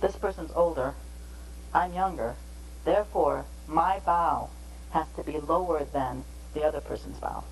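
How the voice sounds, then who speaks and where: A woman giving a measured, explanatory training lecture on interpreting, pausing between phrases. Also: deep voice, slight background noise, poor audio.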